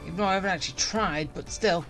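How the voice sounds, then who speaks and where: A woman giving casual, halting gameplay commentary, reacting as she plays. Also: on the low side, fairly bright tone, low-quality recording. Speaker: game streamer. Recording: game music under the voice.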